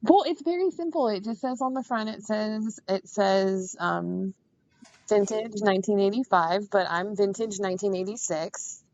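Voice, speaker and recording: A woman's voice: medium pitch, moderate pace, a little mellow, highs cut off. A woman in her thirties chatting as a guest, self-mocking and chatty, often laughing through her words. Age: 30s. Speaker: caller, guest. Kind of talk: casual chat.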